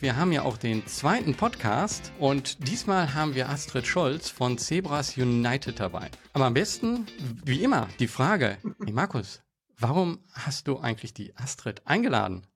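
A man of middling pitch opens a podcast in an upbeat, welcoming presenter's manner. A soft guitar plays quietly behind his voice. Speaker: podcast host. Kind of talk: podcast introduction. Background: guitar music.

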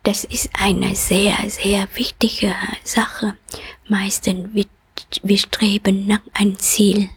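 Interviewte Nonne, Frau mittleren Alters mit vietnamesischem Akzent, hauchig, langsam, ruhig. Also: female voice, mid-range voice, level tone, slow, husky. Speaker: Buddhist nun, interviewee. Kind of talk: calm reflective interview answers.

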